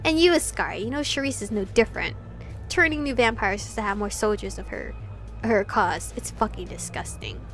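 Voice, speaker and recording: A woman's voice: medium pitch, a little fast, fairly bright tone, soft background music, close to an everyday voice. A woman voicing an angry, passionate young male vampire rebel in a visual novel, accusing and insistent. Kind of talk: visual novel read-aloud.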